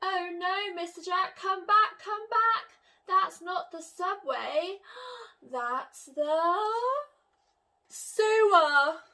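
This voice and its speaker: Woman acting high, alarmed storybook lady calling warnings. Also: pushed and bright, full character voice. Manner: exclaiming, drawn-out urgent calls.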